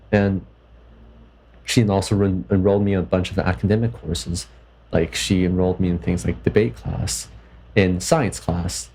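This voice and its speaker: A man's voice: low-pitched, dark tone, breathy edge, poor audio. A young man from Canada in a personal vlog, reflecting thoughtfully and hesitantly, often restarting his sentences. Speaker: vlogger.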